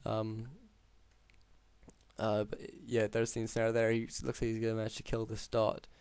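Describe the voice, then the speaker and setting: A man calling a strategy game match alone, talking through plays with many ums, his voice hoarse after hours of casting. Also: on the low side, normal speed, dry recording. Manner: steady, filler-heavy analytical play-by-play. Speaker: game caster. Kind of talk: solo esports play-by-play commentary.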